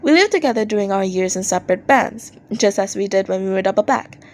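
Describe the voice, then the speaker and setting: A woman reading fan fiction aloud as an expressive amateur audiobook narrator, acting out posts in an animated way. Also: mid-range voice, fast.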